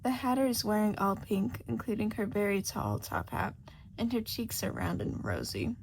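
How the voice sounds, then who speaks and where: A woman's voice: medium pitch, big pitch swings, moderate pace, creaky, slight background noise, poor audio. A woman reading a scripted fiction story aloud as its narrator. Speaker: podfic narrator.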